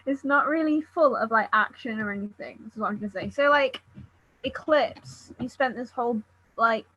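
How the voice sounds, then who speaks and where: A woman chatting casually about books in a halting, giggly way. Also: a little high, a bit bright, no room sound, muffled recording. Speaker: book readalong participant. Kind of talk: casual book discussion chat.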